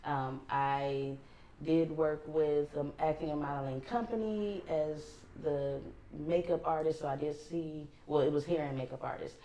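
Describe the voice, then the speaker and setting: Co-host, a woman with a Los Angeles accent, deep for a woman, unhurried and filler-heavy, roomy sound. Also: slight background noise. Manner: relaxed, rambling with many ums. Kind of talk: casual chat.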